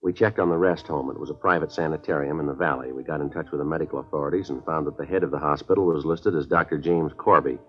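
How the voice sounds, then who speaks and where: A man's voice: very deep voice, fairly even intonation, quick pace, hardly any pauses. An actor playing a terse, businesslike police detective with an American accent, narrating his case in clipped, matter-of-fact 1950s radio drama style. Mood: flat, businesslike. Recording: muffled old radio recording, faint music.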